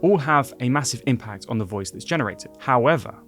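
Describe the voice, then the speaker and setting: A man giving clear, brisk instructions as a software tutorial host. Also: mid-range voice, animated, faint music behind. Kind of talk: scripted tutorial narration.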